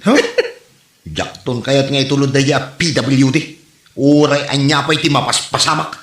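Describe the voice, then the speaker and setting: A man's voice: medium pitch, moderate pace, noisy background, natural voice. A man playing a hapless, complaining tricycle driver in a comedy drama, stammering and exclaiming in exasperation. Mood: exasperated complaining. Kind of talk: comedy radio drama acting. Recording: roomy, echoey sound.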